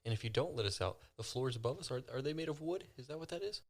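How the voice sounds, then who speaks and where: A man's voice: medium pitch, animated, quick pace. A man playing a tabletop role-playing game, chatting casually in and out of character.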